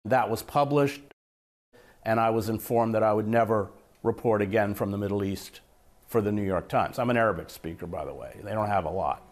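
A man who was a foreign correspondent, speaking in an interview in a forceful, indignant way. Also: on the low side, brisk.

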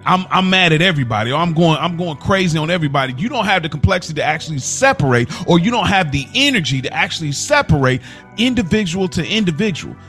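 Higher-pitched man, emphatic and impassioned. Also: animated, quick pace, a bit bright, soft background music. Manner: emphatic, informal slang, repeats himself for emphasis. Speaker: podcast panelist. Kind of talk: impassioned opinion talk.

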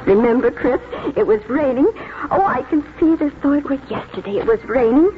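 A woman's voice: sits high, expressive, a little fast. An actress playing a wistful, nostalgic retiring schoolteacher in her seventies, in 1940s radio drama style. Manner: nostalgic, reflective. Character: wistful seventy-four-year-old spinster schoolteacher reminiscing, retiring. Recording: muffled, noisy 1940s radio recording.

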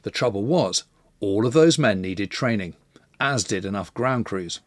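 Lively male documentary narrator, dry studio sound. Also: on the low side, unhurried, no echo. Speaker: history podcast narrator.

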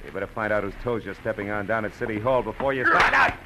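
An American actor playing a tough, wry private detective in a 1940s-style radio drama, with clipped, sardonic, slangy delivery. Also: male voice, fairly low pitch, wildly swooping pitch, quick pace, gravelly, exaggerated voice. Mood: urgent, insistent. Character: tough, wry male private detective narrating his case. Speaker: radio actor, lead and narrator. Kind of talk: hard-boiled 1940s radio drama acting. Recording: noisy vintage radio recording.

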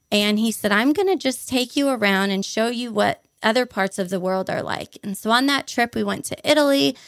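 A woman's voice: on the high side, no room sound, studio quality. A young adult woman from Hawaii, enthusiastically recounting her life story as a guest in an interview. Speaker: podcast guest.

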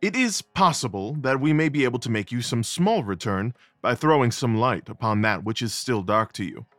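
A man playing a courteous, composed Victorian gentleman detective in an audio drama, speaking in a measured, formal way. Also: medium pitch, animated, no echo, studio quality, soft background music, natural voice. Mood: measured, polite.

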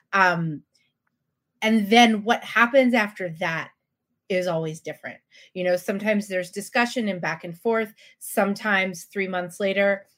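A woman with a slightly low voice explains her editing work in a panel talk. She is casual and conversational, dropping in short quotes as she goes. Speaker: series editor of an anthology.

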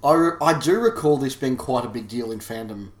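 A man co-hosting a casual chat podcast, quick and prone to stammering restarts. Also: medium pitch, expressive. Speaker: podcast co-host. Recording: echoey room.

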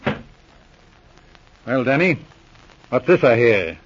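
An actor playing a brusque, authoritative police sergeant in 1940s radio drama style. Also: male voice, mid-range voice, very animated, slow pace, a little hiss or hum. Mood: firm, interrogating. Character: brisk, commanding police sergeant of the Mounties. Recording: muffled old radio recording.

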